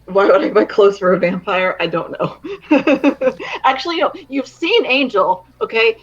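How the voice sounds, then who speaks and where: A woman's voice: medium pitch, measured pace. A blunt woman talks in a loose group chat and swears without a second thought. Her voice sits at an ordinary middle height. Her audio comes through muffled and lo-fi, like an online call. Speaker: parent. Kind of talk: casual group chat on a stream.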